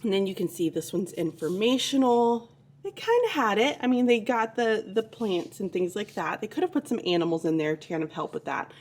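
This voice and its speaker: A woman's voice: mid-range voice, big pitch swings, fairly quick. A woman teacher talking casually through her week in a vlog, chatty and a bit tired, with a sleepy voice. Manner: chatty, with frequent ums. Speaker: fourth grade teacher.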